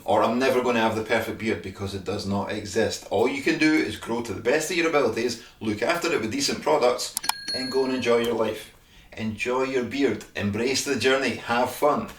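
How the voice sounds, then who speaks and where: A man's voice: medium pitch, normal speed, reverberant, recorded from a distance. A Scottish man casually demonstrating beard care products, checking in often with "all right?". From Scotland. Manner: chatty, frequent "all right?" tags. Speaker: beard care brand host.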